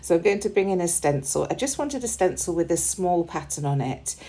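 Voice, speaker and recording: Low mellow lady, kinda hoarse, chatty craft voiceover with lots of ums. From United Kingdom. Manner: relaxed and chatty, with frequent ums and small laughs. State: slightly hoarse, losing her voice. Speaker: crafting channel host. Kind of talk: casual voice-over narrating a scrapbooking process.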